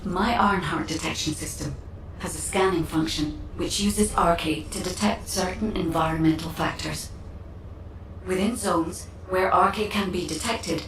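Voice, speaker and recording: A composed female AI guide, acted by a woman delivers precise game dialogue. Her voice is a little low and matter-of-fact, echoing from a short distance over faint noise. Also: natural voice. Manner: formal, measured, matter-of-fact. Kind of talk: video game scripted dialogue.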